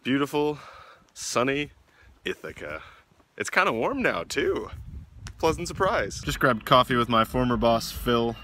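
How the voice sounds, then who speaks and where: A man's voice: mid-range voice, big pitch swings, a little slow, pushed and bright, slight background noise. A man in his twenties with an American accent, chatting in an upbeat, casual way for a video diary. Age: 20s. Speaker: vlogger.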